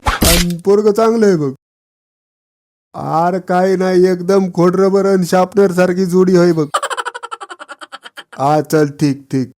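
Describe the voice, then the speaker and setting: An actor playing a cocky village friend in a comedy cartoon, brash, bragging and teasing. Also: male voice, sits high, slow, pauses often, a little mellow. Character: cocky, boastful village friend who plays the fixer. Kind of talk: exaggerated comedy cartoon dialogue.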